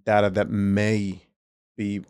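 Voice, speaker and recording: A man's voice: lowish voice, level tone, takes it slowly, a little mellow, clean tone, dry recording, clean studio sound. A man hosting a podcast, chatting casually, asking probing questions and often answering with short affirmations. He speaks with an accent. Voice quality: speaks with a non-native accent. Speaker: podcast host. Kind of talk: casual interview chat.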